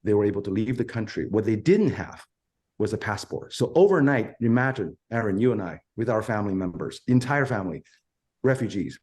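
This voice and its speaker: A man's voice: on the low side, expressive, brisk, darkish tone. A middle-aged man from China with a Chinese accent, answering in an interview in an earnest, emphatic way, telling his story in short phrases. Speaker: violin professor and interview guest.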